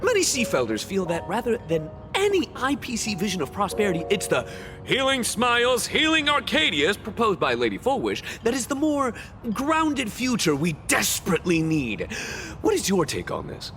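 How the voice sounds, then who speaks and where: A man's voice: sits high, huge pitch swings, moderate pace, exaggerated voice. An actor playing a relentlessly upbeat male TV street reporter in a video game, with a showy, gushing broadcast delivery. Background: background music.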